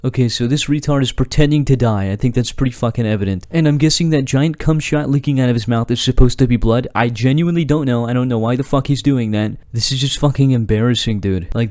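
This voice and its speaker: A man's voice: mid-range voice, dark tone, no echo, studio quality. A young man giving an exasperated, sarcastic, profanity-laced rant about online clips. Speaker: commentator. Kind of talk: ranting commentary on clips.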